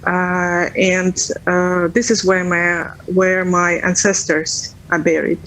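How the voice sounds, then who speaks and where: A woman in her thirties from Ukraine, a native Russian speaker, speaking English with an accent in a remote news interview, earnest and halting with many fillers. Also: lowish voice, level tone, fairly bright tone, rough recording. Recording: faint background noise.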